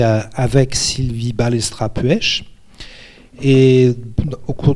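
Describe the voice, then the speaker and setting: A man's voice: mid-range voice, warm tone, no echo. Hesitant man moderating an interview. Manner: halting, hesitant, with many pauses and restarts. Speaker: moderator interviewing a guest at a translation conference. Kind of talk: live onstage interview.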